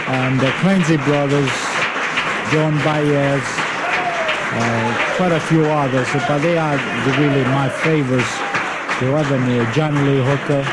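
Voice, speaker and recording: An Italian-accented man answers questions in halting, broken English. Loud music and a busy crowd fill the club behind him. The tape is noisy and live. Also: mid-range voice. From Italy. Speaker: folk club owner. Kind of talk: radio interview answers. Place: busy folk club at night. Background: live music and crowd chatter.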